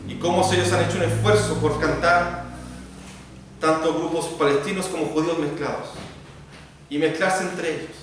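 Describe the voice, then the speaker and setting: A Chilean pastor preaching an expository sermon, addressing the congregation directly in repeated parallel phrases. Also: male voice, fairly high pitch, steady tone, moderate pace, long pauses. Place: church sanctuary. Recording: very echoey church, voice from far off, faint noise.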